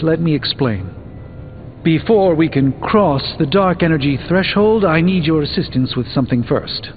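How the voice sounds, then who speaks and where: A learned middle-aged sorcerer speaks in composed, formal phrases for a video game. His voice sits a little high. It rings in a long hall reverb, thinly band-limited, with faint music behind. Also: phone-quality sound. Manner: precise, formal, measured diction. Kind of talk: video game character dialogue.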